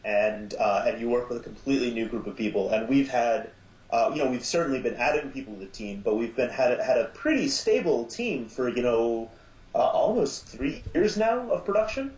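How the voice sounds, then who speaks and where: A man speaking as an interview guest in casual chat, enthusiastic but halting and reflective. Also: mid-range voice, lively intonation, darkish tone, echoey room, highs cut off. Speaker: podcast guest, audio drama maker.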